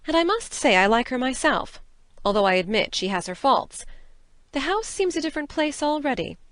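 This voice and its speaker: A woman's voice: high-pitched, big pitch swings, moderate pace. A woman playing a dry, firm, stern middle-aged farm woman in a dramatized audiobook. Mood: candid, mild. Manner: dry, matter-of-fact.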